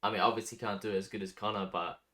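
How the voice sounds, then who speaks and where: A man's voice: lowish voice, steady tone, very fast, sharp tone. A man hosting a music reaction video, talking casually and enthusiastically with lots of fillers. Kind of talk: casual reaction commentary over a song.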